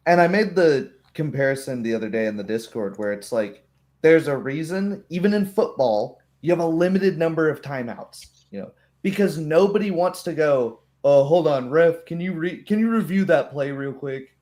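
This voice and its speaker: A man's voice: fairly high pitch, expressive, normal speed, a little mellow. A man speaking casually as a game master, explaining things through analogies and little acted-out examples. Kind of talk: casual chat.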